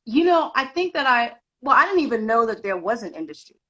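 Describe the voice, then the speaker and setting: Woman from zimbabwe and kenya, bright forward voice, chatting reflectively as interview guest. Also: a little high, big pitch swings, a little fast, dull audio. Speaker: interview guest, actor and director. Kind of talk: conversational personal storytelling. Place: live video call.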